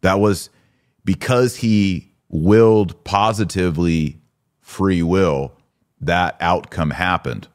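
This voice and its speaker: A man's voice: low voice, takes it slowly. A man hosting a podcast, giving conversational religious commentary in an emphatic, teacherly way. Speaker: podcast host.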